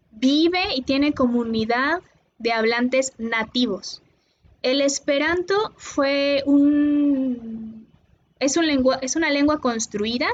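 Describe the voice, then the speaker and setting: A Mexican woman tutoring linguistics online, explaining patiently and pausing often with "eh". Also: high-pitched, highs cut off, slight background noise. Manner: patient, explanatory, with many "eh" pauses.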